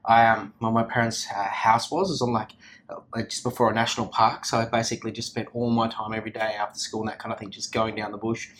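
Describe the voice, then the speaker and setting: Aussie guy talking fast and excited in an office interview, low-ish voice, keeps restarting, faint background hum. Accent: Australian. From Australia. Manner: energetic, rambling with many restarts and fillers. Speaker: company founder, guest. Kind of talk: casual interview chat.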